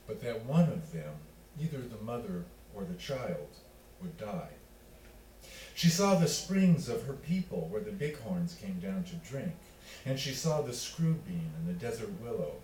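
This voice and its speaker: A man reading literary fiction aloud as its author, in a measured, deliberate way with pauses between phrases. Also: medium pitch. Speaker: author reading own work. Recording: roomy, distant miking with faint noise.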